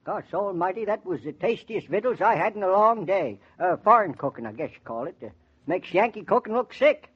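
An actor playing a kindly old rustic neighbour with a rural American accent and folksy, rambling speech in 1940s radio drama style. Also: male voice, high voice, expressive, brisk, husky. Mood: earnest, reflective. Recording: dull 1940s radio recording.

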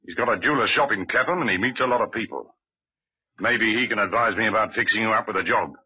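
Husky, exasperated suburban father. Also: on the low side, quick pace. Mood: stern. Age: middle-aged. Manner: blunt, scolding. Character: exasperated, stern middle-aged suburban father. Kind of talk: old-time radio acting. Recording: muffled old radio recording.

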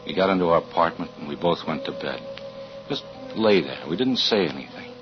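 Weary, hesitant insurance investigator, low register, old muffled radio-thriller recording. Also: male voice, lively intonation, music in the background. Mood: uneasy, weary. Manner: hesitant, halting, with stammered repeats. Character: uneasy, weary fire insurance investigator, stubborn man. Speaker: actor in a radio drama. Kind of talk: 1940s radio acting, first-person narration and dialogue.

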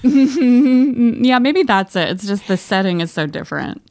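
A woman's voice: on the high side, sing-song, unhurried, a little mellow, no room sound, studio quality. A woman co-hosting a podcast in a casual, chatty book club style, talking and reading aloud from listener letters. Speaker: podcast co-host.

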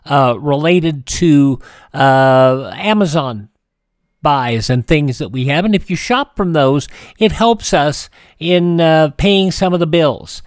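A man hosting a radio programme with casual, halting, unscripted announcements. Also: fairly high pitch, expressive, no echo, clean studio sound. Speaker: host of a classic radio programme.

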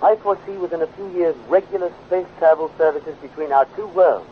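An actor playing a courteous, idealistic president of Venus with formal, stately phrasing in a 1950s radio serial. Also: male voice, on the high side, a little fast, natural voice. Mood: hopeful. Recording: dull, noisy old radio recording.

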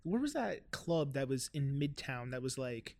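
A man in his thirties from South Florida with a high-pitched voice, chatting loosely, with lots of "like" and "right?". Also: big pitch swings, measured pace, creaky, a little mellow. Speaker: guest musician. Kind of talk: casual podcast conversation.

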